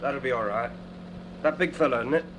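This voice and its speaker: An obliging railway guard played by a male actor in a radio drama, with a middling voice. He rambles in homely, colloquial phrases over clear background noise and hum. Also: mid-range voice, a little fast, natural voice. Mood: chatty, helpful.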